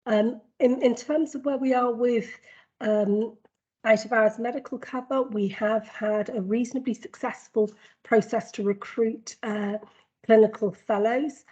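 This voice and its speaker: A woman with a cold speaks in a husky, breathy voice, giving a formal report at a board meeting. She talks in a measured way, with many ums and restarts. The audio is dull and lo-fi. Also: fairly high pitch, fairly even intonation, dark tone. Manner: measured, frequent ums and repeated words. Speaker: health board executive presenting a report. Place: board meeting, video call.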